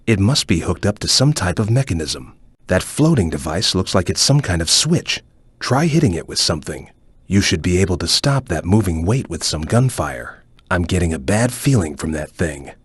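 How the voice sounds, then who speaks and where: A man playing a calm, serious ninja chameleon in a video game, speaking in a measured, stoic and focused way with a low, husky voice. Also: no echo, full character voice. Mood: serious, watchful. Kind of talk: video game voice lines, hints and tutorial instructions.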